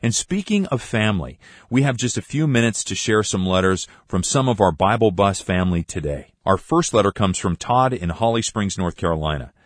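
A man with a very low voice hosts a radio ministry program. His delivery is friendly and measured, like a practiced announcer. The sound is dry and close, with no room around it. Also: lively intonation, no room sound. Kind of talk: scripted radio introduction and letter reading.

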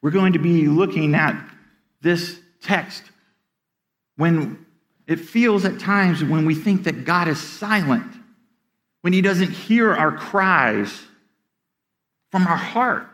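A middle-aged pastor preaches with an earnest, plain delivery. His voice sits high for a man, and he often stumbles over and repeats the first word of a sentence as he builds his point. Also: expressive, slow pace, long pauses, a bit bright. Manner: earnest, repeats words when starting sentences. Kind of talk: sermon.

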